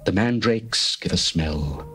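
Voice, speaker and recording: A solemn, adoring lover from an ancient love poem, as a man reads him, speaking his praise slowly and with reverence. The voice sits a little low and is steady. Faint music plays underneath. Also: heavily acted. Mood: tender. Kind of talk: dramatized scripture reading.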